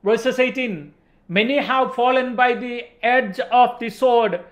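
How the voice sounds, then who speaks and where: Very high-pitched Indian male preacher. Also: slow pace, reverberant. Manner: emphatic, repeating phrases for stress. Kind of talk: religious motivational talk. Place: indoor studio set.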